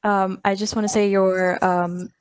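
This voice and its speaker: A woman's voice: mid-range voice, level tone, measured pace, smooth, no room sound. A young woman with an Indian accent, a first-semester law student, asking a question over a video call in a nervous, hesitant way. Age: young adult.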